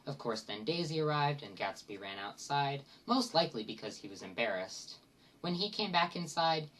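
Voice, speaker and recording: Lovelorn male narrator, played by a man, wistful and confiding, literary monologue, medium pitch, slightly distant mic. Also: a bit bright, natural voice. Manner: dramatic, sentimental, confiding. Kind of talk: dramatic monologue from a literary parody.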